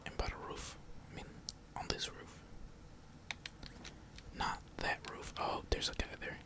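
Whispering ASMR gamer. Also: measured pace. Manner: slangy, laid-back, casual swearing. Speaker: gaming streamer. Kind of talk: whispered ASMR gameplay commentary.

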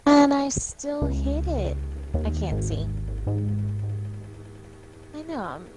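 A woman's voice: sits high, big pitch swings, takes it slowly. A woman chatting casually as she co-hosts a co-op video game session. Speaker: gaming video co-host. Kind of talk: casual co-op gameplay chat. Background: music.

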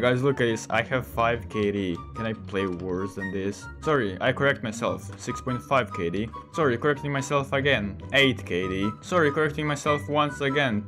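A sixteen-year-old male gamer who is not a native English speaker, giving casual, rambling commentary as he hosts a gameplay video. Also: medium pitch. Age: 16, teenager. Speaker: gaming video host. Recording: voice under loud game music. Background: music.

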